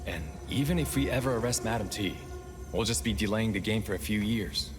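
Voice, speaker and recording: A man playing a steady, loyal field operative in a video game, speaking in a matter-of-fact, resolute way. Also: lowish voice, fairly quick, close to an everyday voice. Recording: prominent music behind the voice.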